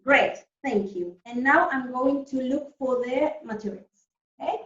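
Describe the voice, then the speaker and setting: Echoey, high-voiced woman teaching young children. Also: a little slow, a bit bright. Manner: simple explanations with frequent check questions. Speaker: primary school teacher leading an online lesson. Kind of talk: interactive lesson for children, reading and explaining. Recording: echoey room, distant muffled mic.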